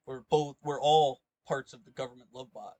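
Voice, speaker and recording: A man co-hosting a casual gaming podcast, thinking aloud in a halting way. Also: mid-range voice, steady tone, darkish tone, no echo. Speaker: podcast co-host. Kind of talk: casual tabletop gaming chat. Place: online voice call, dry sound.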